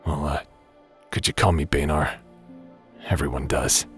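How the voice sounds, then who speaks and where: A very deep-voiced space pirate as a man acts him out carries guilt from his past. He speaks hesitantly, with weary, wry restraint, in a sci-fi audio drama with soft music behind. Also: fairly even intonation, measured pace. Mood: guarded, remorseful. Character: remorseful ex-pilot turned space pirate, weary and guilt-ridden.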